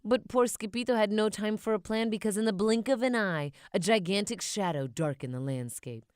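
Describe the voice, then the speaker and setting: A woman narrating a children's audiobook in an expressive storybook style. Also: mid-range voice, normal speed, clean tone, no room sound, studio quality.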